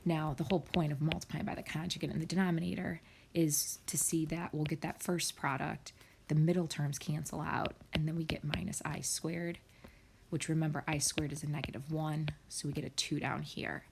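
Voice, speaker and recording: A woman's voice: low-pitched, fairly even intonation, normal speed, gravelly, noisy background. A woman math teacher talking students through problems step by step in a recorded screen lesson, often dropping to a near whisper.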